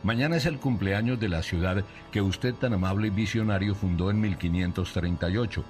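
A man's voice: fairly low pitch, fairly quick, darkish tone. A Colombian radio commentator, a man, reading a scripted satirical monologue in letter form. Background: music.

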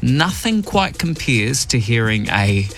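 A man's voice: medium pitch, sing-song, takes it slowly, fairly bright tone, soft background music. A man with a New Zealand accent hosting a radio show, giving emphatic opinion commentary and reading news headlines. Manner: emphatic, opinionated, varied delivery. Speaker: radio host.

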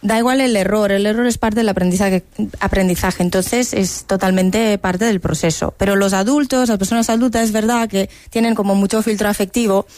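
A woman's voice: medium pitch, fairly even intonation, fairly quick, darkish tone, dry recording. A woman language teacher for whom Spanish is a second language, speaking confidently on a panel. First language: not Spanish. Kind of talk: panel discussion.

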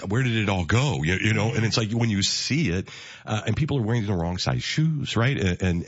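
Radio host, male, very low husky voice, rambling easy interview style, dry slightly dull studio sound. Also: dry recording. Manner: rambling, many restarts and asides. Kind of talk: casual radio interview chat.

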